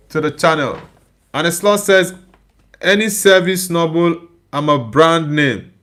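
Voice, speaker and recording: A man's voice: a little high, a bit bright. A tired man with a Nigerian accent whose first language is Igbo, hosting a live stream and reading viewer comments aloud in casual chat. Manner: reads viewer comments aloud and answers them. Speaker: livestream host.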